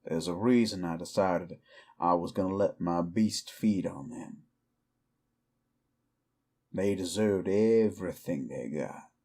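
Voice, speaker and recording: Man playing a slow-drawling, low-voiced cowboy outlaw. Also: dark tone, exaggerated voice. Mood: bitter, defiant. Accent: American Southern or Western drawl. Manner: drawling, folksy, brooding. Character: male cowboy outlaw werewolf, weary, defiant, protective. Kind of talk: slow dramatic roleplay monologue to the listener.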